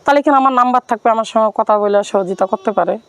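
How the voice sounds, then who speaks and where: A woman's voice: fairly high pitch, rapid-fire, clear, resonant tone, no echo. A woman with a Bangladeshi accent, earnestly and plaintively telling her life story and appealing to listeners. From Rangpur, Bangladesh. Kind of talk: personal account and plea to viewers.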